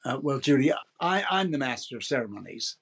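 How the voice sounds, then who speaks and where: Old-time radio host, mid-pitched voice, polished patter, genial showman. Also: sing-song, fairly quick, gravelly, fairly bright tone, slightly rough, heavily acted. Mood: flustered, good-humored. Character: genial male radio master of ceremonies, 1930s Hollywood. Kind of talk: 1930s radio variety show acting.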